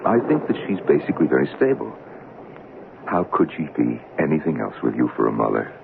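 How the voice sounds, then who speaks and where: An actor playing a calm, protective family friend who speaks in a soothing, measured way and gently insists, in 1970s radio drama style. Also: male voice, a bass voice, vocal fry, full character voice. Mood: concerned. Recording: tinny, hissy old radio broadcast.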